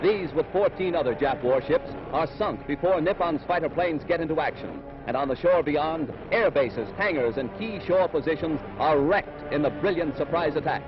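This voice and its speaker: A man's voice: a little high, expressive, normal speed, few pauses, highs cut off. An American man narrating a scripted 1940s newsreel in a dramatic, emphatic announcer's delivery, on an old film soundtrack. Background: loud music, battle sound effects.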